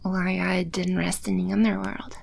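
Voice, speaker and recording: A woman playing a playful, teasing demon empress in an ASMR audio roleplay, speaking with unhurried, coy confidence. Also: on the low side, little pitch movement, gravelly, a little mellow. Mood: relaxed, candid. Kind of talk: ASMR audio roleplay, spoken close to the listener.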